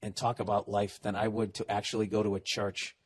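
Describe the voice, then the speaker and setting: A man's voice: fairly low pitch, little pitch movement, fairly quick. A man in his forties in a solo comedic podcast rant, profane and sarcastic. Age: 40s. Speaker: podcast host.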